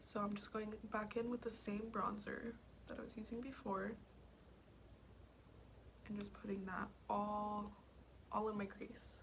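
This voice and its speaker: Young woman beauty vlogger, raspy voice, casual tutorial chatter with whispered asides, noisy home recording. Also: medium pitch, little pitch movement, unhurried, pauses often. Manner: chatty, slips into whispers while working. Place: home, at a mirror.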